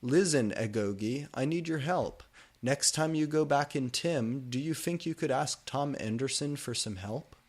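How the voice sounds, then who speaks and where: A man's voice: medium pitch, a little slow, voice pushed up, lightly acted. A man voicing a sad, irritable, swearing goth woman teacher in a story reading, theatrical and whiny. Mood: upset, pleading.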